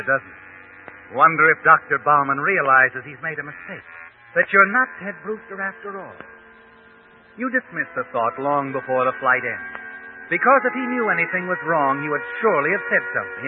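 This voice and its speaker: An actor playing a knowing, insinuating narrator in an old radio suspense drama, speaking dramatically. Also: male voice, a little high. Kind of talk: 1940s radio suspense narration, second person. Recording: tinny old radio recording with music.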